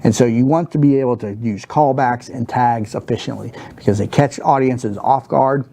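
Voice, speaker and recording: A man in his thirties in a studio, teaching stand-up comedy basics in a monotone, rambling way with many fillers and restarts. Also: mid-range voice, moderate pace, creaky, slight background noise. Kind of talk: unscripted talk to camera. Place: studio set with a wooden backdrop, seated at a desk.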